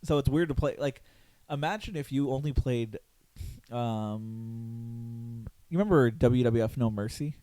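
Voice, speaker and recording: A hoarse man hosting a podcast in loose, rambling casual chat, full of fillers and swearing. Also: medium pitch, unhurried, warm tone. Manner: rambling, loose and profane, with lots of fillers and laughs. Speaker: podcast host.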